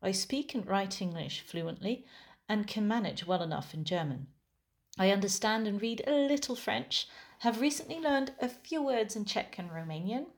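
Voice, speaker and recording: A woman whose first language is Dutch, a musician giving a calm, thoughtful scripted monologue. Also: mid-range voice, normal speed.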